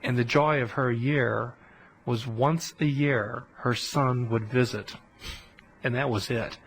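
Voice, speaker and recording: A man giving a conversational history lecture on a podcast, telling it as an animated story with colloquial asides. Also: mid-range voice, takes it slowly, slightly rough, low-quality recording.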